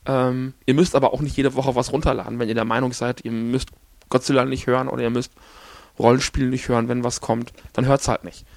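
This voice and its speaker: A man hosting a podcast on his own, hoarse after an illness, rambling along with many fillers and restarts. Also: mid-range voice, flat delivery, brisk, a little mellow, no echo. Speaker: podcast host. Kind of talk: casual solo podcast talk.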